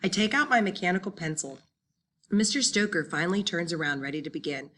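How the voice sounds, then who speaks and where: Casting: female audiobook reader, forward bright tone, slightly low register, dramatic first-person delivery for young readers' fiction. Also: expressive, fairly quick. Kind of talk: expressive first-person children's novel narration.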